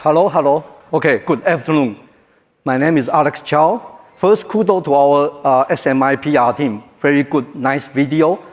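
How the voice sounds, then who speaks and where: Taiwanese-accented guy giving a keynote, kinda high voice, jokey, big echoey hall. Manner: joking, halting, non-native phrasing. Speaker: keynote speaker. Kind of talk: conference keynote talk. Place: large conference hall. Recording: reverberant hall.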